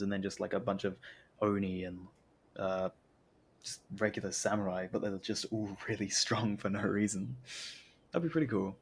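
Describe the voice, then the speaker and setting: A man streaming a game with casual, halting, slightly mumbly commentary that rambles along. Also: fairly low pitch, husky. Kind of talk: casual live gameplay commentary. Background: game music.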